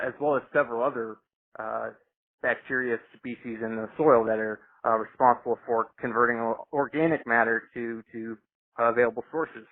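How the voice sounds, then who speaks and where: A man giving an informal technical explanation as a products specialist, halting and repeating words as he goes. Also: medium pitch. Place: conference call. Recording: muffled, band-limited conference call line.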